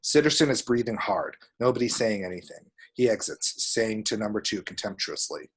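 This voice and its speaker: Guy reading out script action lines, lowish husky voice, table read narrator. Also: animated, normal speed.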